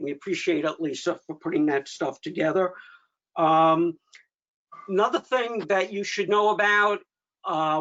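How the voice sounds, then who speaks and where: A man's voice: high voice, fairly bright tone. A man hosting an informal update meeting, conversational, with dryly humorous asides. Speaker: meeting host. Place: online video meeting. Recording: dull lo-fi video call audio.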